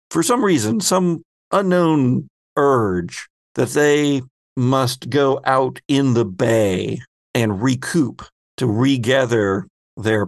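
A man narrating a tabletop role-playing game as host and game master, like a storyteller, halting for dramatic pauses. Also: medium pitch, creaky, dry recording, studio quality.

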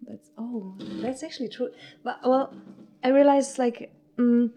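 Mellow-voiced German-speaking woman poet. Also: on the high side, steady tone, measured pace, faint music behind. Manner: searching for words, many filler likes, earnest. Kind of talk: casual conversation.

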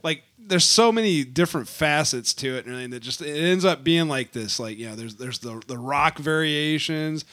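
Bright-voiced man co-hosting a podcast. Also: fairly high pitch. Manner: enthusiastic, chiming in with quick agreement. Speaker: podcast co-host. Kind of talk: casual chat.

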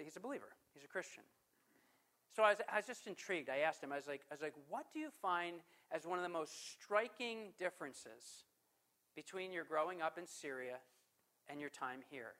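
A man preaching a sermon as pastor. He puts questions to the congregation, slows down to stress scripture, and swings from forceful to hushed. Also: a little high. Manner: emphatic preaching with rhetorical questions.

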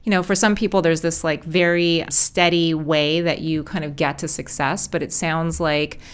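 A woman from Los Angeles hosts a casual interview. Her voice sits quite low, and she talks in a loose, chatty way with plenty of fillers. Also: steady tone, normal speed, clear, resonant tone. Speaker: podcast host.

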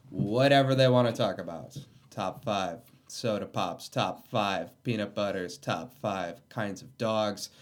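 A man hosting a casual comedy podcast, teasing his guest with playful jokes and quick laughs. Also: fairly low pitch. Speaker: podcast host.

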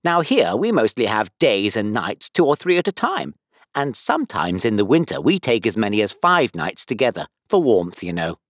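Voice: mid-range voice, sing-song, moderate pace, no echo, studio quality. A reader voicing a nervous, rambling old queen in a storybook reading. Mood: explaining.

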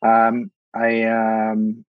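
Halting man. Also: lowish voice, completely flat delivery, clean tone, no room sound, phone-quality sound. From Colombia. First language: Spanish. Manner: halting, repeats words while searching for them. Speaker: guest consultant. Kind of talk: casual panel conversation.

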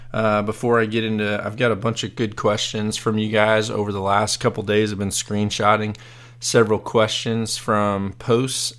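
Brief: hoarse, fairly low-voiced male podcast host, loose rambling chat full of fillers. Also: fairly bright tone. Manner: rambling, many fillers and restarts. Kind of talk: casual live podcast chat.

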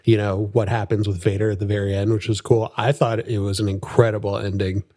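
A young man co-hosting a podcast in casual, upbeat chat, laughing often and tossing in quick asides. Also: on the low side, little pitch movement, dark tone, no echo, studio quality. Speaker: podcast co-host.

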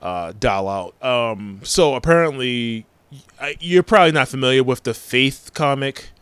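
A man's voice: medium pitch, animated, unhurried, fairly bright tone, clean tone, dry recording. Guy in his 40s going over pop culture news, lots of ums, dry close sound. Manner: rambling, hesitant, many ums. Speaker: podcast host. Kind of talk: casual chat.

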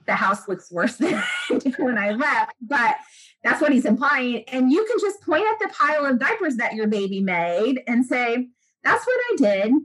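A woman's voice: mid-range voice, fairly bright tone, breathy edge, rough recording. A woman speaking as a guest in a casual interview, earnest and chatty with many fillers. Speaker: guest, breastfeeding expert.

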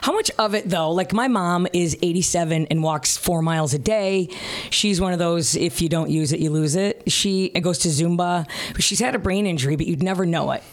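A woman's voice: on the low side, normal speed. A woman in her late fifties hosting a casual interview, informal and joking, often at her own expense. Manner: joking, self-deprecating, informal. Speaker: host.

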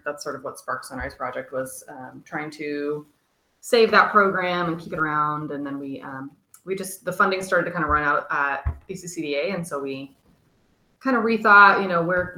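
A woman from Kansas, a nonprofit director, talking in a relaxed way with many pauses and ums. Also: deep voice, echoey room, low-quality recording. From Kansas, USA. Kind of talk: casual interview.

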